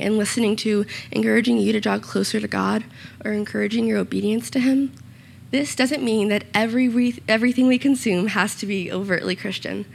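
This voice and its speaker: Slightly raspy young woman preaching. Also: mid-range voice, a little mellow, a little hiss or hum. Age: young adult. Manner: earnest and personal, with small self-conscious asides. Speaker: youth speaker giving a short sermon. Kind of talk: sermon with scripture reading and prayer. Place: church room.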